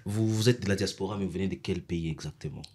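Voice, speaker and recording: A male host with a low, husky voice leads a TV interview. He speaks formally, stumbling and restarting as he hunts for words. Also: fast talker, darkish tone. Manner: formal, repeats himself while searching for words. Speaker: talk show host.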